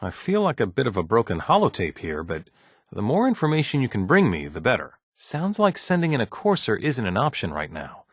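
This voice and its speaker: An actor playing a mild, bureaucratic scientist in a video game, polite, measured and slightly uneasy, with a hesitant, deferential manner. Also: male voice, mid-range voice, wildly swooping pitch, husky, no echo, studio quality, exaggerated voice. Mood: puzzled, cautious. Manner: hesitant, deferential, measured office-professional speech. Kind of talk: video game dialogue acting.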